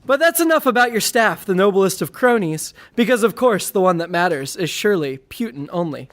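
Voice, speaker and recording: A man's voice: high voice, animated, brisk, fairly bright tone. Mock-grandiose man reciting comic verse. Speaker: comedy poet and performer. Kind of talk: live reading of a satirical rhyming poem.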